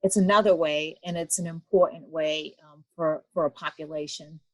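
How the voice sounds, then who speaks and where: A woman's voice: deep voice, fairly even intonation, normal speed, no room sound, muffled recording. A woman, an association president, interviewing a guest in an attentive, affirming way. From United States. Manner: attentive, affirming, frequent "mm-hmm". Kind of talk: interview conversation.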